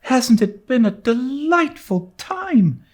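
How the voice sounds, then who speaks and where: A man's voice: very high voice, sing-song, slow pace, pitched above the performer's own voice, heavily acted. A man voicing a dreamy, imaginative young girl in a storybook reading, airy and gushing with wonder. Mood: rapturous. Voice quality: breathy, airy. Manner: gushing, awestruck.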